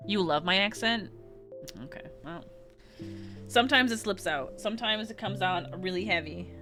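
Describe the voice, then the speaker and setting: A woman's voice: mid-range voice, moderate pace, music in the background. A woman with an American West Coast accent, chatting casually with her viewers on a game stream, giggling and rambling with many restarts. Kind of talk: casual chat reading live chat during a game stream.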